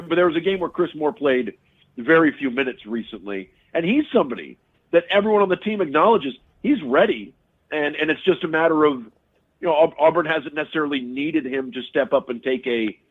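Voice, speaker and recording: A man, a radio sports broadcaster, giving casual analysis as a guest, talking quickly and repeating words as he thinks. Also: mid-range voice. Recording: tinny phone line.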